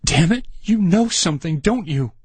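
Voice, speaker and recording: Man voicing an enraged young soldier, high shrill yelling, husky edge, horror storybook. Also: animated, moderate pace, no room sound. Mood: furious. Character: enraged young male soldier, hot-tempered.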